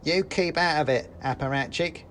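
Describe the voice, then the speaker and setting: A man voicing a pompous, bossy male mouse soldier with a high-pitched voice in a spoken story. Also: normal speed, fairly bright tone, slight background noise, natural voice. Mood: blustering. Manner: blustering, bossy.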